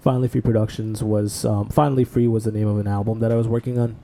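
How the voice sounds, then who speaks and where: A man from Bangladesh, co-host of a podcast, telling his life story in a relaxed, rambling way. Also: on the low side, fast, gravelly. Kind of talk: casual podcast conversation.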